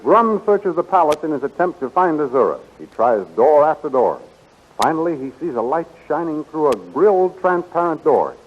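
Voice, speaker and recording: A man's voice: on the high side, very animated, normal speed, faint music behind. A man narrating and announcing in the scripted style of a 1930s radio serial, on a muffled old recording.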